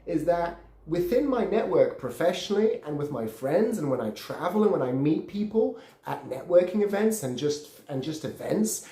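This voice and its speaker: Earnest Brazilian-born man, mellow voice. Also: fairly high pitch, very animated, normal speed, reverberant. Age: 30s. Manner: earnest, repeats words while searching for phrasing. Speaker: podcast host. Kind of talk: casual storytelling monologue.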